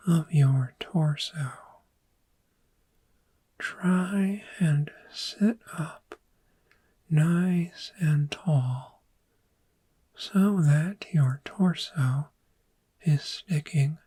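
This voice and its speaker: A woman voicing a calm, patient dragon therapist in a gentle, breathy, soft-spoken ASMR roleplay, with measured, reassuring instruction. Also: low voice, full character voice. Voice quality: breathy, whispery.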